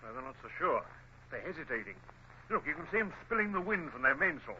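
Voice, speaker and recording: Excitable naval officer, swooping voice. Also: male voice, a little high, fast talker. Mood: amused, watchful. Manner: animated, deferential. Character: eager, excitable male naval junior officer, deferential to his captain. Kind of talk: vintage radio drama acting. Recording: dull, faintly noisy old radio recording.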